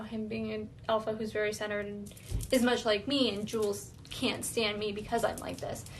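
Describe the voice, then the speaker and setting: A woman's voice: mid-range voice, fairly bright tone, slight background noise. A woman giving an earnest, reflective self-awareness talk, thinking aloud as she goes. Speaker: wellness vlogger.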